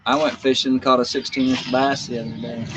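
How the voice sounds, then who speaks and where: A man's voice: mid-range voice, level tone, a little fast, background noise. Easygoing man explaining farm chores. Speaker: homesteading livestream co-host. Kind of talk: casual live-stream chat. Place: outdoors on a farm, milking a cow.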